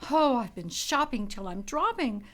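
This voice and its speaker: Woman playing a gushing, high-pitched chatterbox. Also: very animated, normal speed, fairly bright tone. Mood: gushing, breezy. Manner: effusive, theatrical. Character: gushing, chatty, oblivious female acquaintance. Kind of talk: radio play acting.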